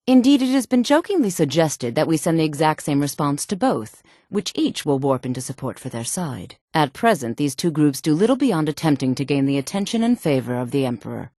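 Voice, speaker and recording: A woman's voice: sits low, a little mellow, dry recording, clean studio sound. An actress playing a haughty woman mage in a fantasy video game, speaking in a sultry, formal, imperious way. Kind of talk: video game character voice acting.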